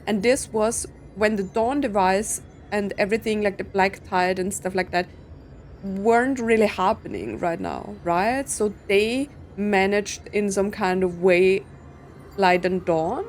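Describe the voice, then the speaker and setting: A woman giving casual game commentary, thinking aloud in a puzzled way with lots of filler words. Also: medium pitch. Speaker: streamer playing a story game.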